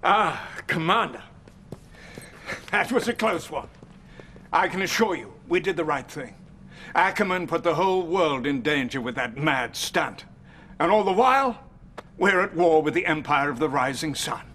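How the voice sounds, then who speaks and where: Middle-aged president, commanding, slightly high voice, faint noise. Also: male voice, lively intonation, normal speed, a bit bright. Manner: commanding, exasperated.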